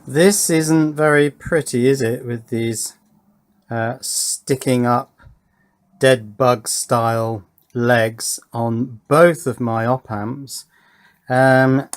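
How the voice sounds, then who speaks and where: A man giving a casual hands-on electronics demonstration, thinking aloud in a chatty way and often putting little questions to himself. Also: medium pitch. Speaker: host of an electronics workshop video.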